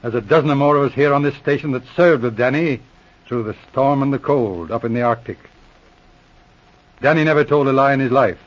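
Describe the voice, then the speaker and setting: An actor playing a shrewd, loyal police sergeant in 1940s radio drama style, probing and dryly teasing with calm command. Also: male voice, medium pitch, a little fast, close to an everyday voice. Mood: indignant. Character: shrewd, self-assured Mountie sergeant, loyal and patient. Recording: muffled vintage radio recording.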